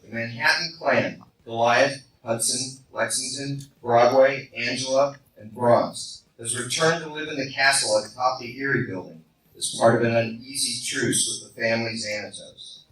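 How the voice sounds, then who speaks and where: A man's voice: mid-range voice, moderate pace, fairly bright tone. A man narrating and announcing a live radio play at a convention, recorded from the audience. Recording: very echoey, distant fan recording, noisy.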